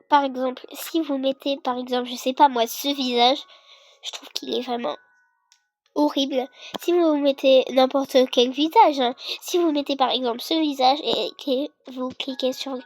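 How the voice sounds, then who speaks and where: A young girl with a congested, sick voice, casually explaining a video game in a hesitant, rambling way. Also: high-pitched. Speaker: gaming video creator. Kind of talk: casual game tutorial commentary.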